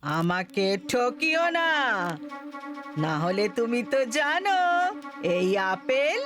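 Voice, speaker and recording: A woman voicing a scheming witch who has been cursed into a tree, speaking slowly and slyly. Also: on the high side, sing-song. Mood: sly, warning. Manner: slow, sly, warning tone. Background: cartoon background music.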